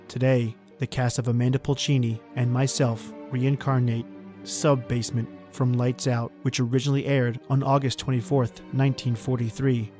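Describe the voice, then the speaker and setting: A man giving a measured, announcer-like scripted introduction as podcast host. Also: medium pitch, mellow.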